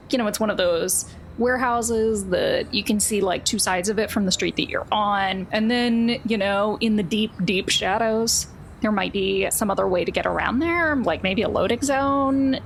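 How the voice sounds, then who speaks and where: Female game master, gravelly edge, conversational improvised narration, noisy recording. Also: mid-range voice.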